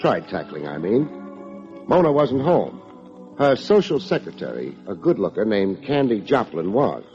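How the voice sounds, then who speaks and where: An actor playing a wry, wordy hard-boiled private detective with dry sardonic delivery, in old-time radio drama style. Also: male voice, on the low side, big pitch swings, normal speed, darkish tone. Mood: wry narration. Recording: muffled old radio recording with music.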